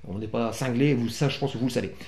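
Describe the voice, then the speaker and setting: A man's voice: medium pitch, quick pace, darkish tone. Bossy, joking man in an echoey room. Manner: bossy, teasing, coarse joking. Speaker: vlog host. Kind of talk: casual motorcycle gear unboxing chat to camera. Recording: echoey room with music behind.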